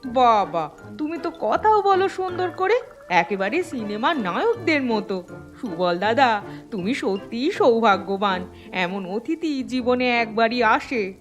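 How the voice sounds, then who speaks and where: A woman's voice: fairly high pitch, big pitch swings, a little slow, faint music behind. A woman playing a nosy, gushing, teasing village neighbour in a cartoon. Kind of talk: cartoon dialogue dub.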